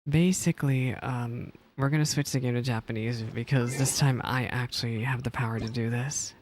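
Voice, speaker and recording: Casual live-reaction streamer, medium pitch, chatty and exclamatory, faintly noisy recording. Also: fairly even intonation, normal speed. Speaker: game streamer.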